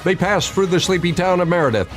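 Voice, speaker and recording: A man narrates a radio drama from a script. His voice sits a bit high for a man, with faint music behind him. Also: quick pace.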